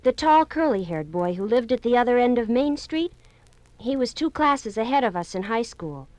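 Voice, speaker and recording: Woman doing a girl reading her breakup letter, kinda high, hesitant, sorry, dry mic. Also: lively intonation, normal speed, a little mellow, dry recording, close to an everyday voice. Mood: apologetic. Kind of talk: 1950s radio drama acting.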